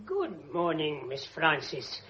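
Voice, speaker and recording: An actress playing a formal, deferential household servant in a radio drama, speaking in a measured way. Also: female voice, low voice, wildly swooping pitch, fairly bright tone, exaggerated voice. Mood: formal concern. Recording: dull, noisy radio drama recording.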